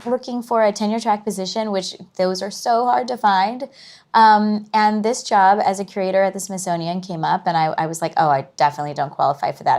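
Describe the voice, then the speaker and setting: A woman's voice: mid-range voice, darkish tone. Reflective woman in a casual interview. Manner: reflective, trailing thoughts with frequent "you know". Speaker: guest, museum curator.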